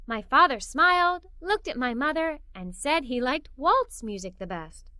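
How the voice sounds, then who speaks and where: A woman reading a children's story aloud in an animated, playful, emphatic way, as a young girl telling it. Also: high voice, measured pace, no echo, clean studio sound. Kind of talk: expressive read-aloud storytelling in a young girl's first person.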